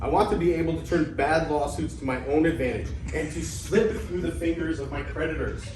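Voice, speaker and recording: A man's voice: on the high side, brisk, natural voice. A man playing an earthy, bumbling, debt-ridden would-be student in a theatrical reading of an ancient Greek comedy, with exclamatory delivery. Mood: eager, pleading. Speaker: amateur actor in a staged play reading. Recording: echoey room, distant mic, background noise.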